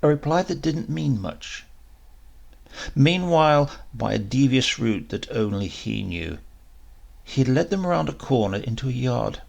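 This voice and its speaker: A man narrating a short story in a measured, expressive way, with deliberate pauses for drama. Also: mid-range voice, vocal fry. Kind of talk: dramatic scripted literary narration.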